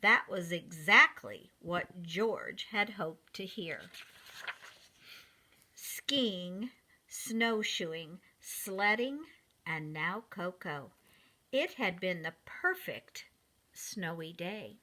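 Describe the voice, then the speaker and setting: A woman reads a storybook to preschool children with a very lively, swooping pitch. Her delivery is slow and deliberate, and her voice is bright and clear in its address to the young listeners. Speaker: preschool teacher reading a picture book.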